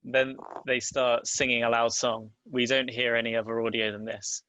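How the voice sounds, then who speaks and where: A man's voice: mid-range voice, flat delivery, normal speed, pushed and bright, poor audio. A man reading stage directions as scripted narration at a table read.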